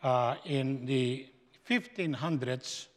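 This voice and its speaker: A man with a Slovenian accent, a guest speaker giving a slow, emphatic sermon in a seminary chapel. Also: mid-range voice. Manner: slow, emphatic preaching with stretched-out phrases. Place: large chapel. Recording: echoey hall with long reverb.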